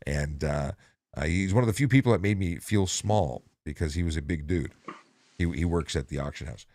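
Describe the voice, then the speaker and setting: A middle-aged man hosting a casual traffic-policing livestream, talking in halting bursts with frequent restarts. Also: low-pitched, darkish tone, dry recording. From Ontario.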